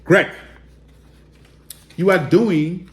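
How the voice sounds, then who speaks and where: A man's voice: high-pitched, drawn-out words, echoey room. A man with a Liberian accent giving direct, emphatic political commentary and appealing to viewers. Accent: Liberian English.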